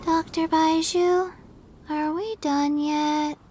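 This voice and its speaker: Small, very slow deadpan girl. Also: very high voice, faint music behind. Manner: flat, halting, deadpan. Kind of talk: game character voice acting.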